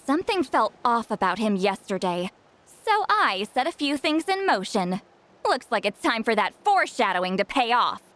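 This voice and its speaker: A woman's voice: fairly high pitch, sing-song, moderate pace, a bit bright. A woman playing an aloof, self-assured, slightly prickly young shaman in a video game, with a dry, offhand delivery. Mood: smug, explaining.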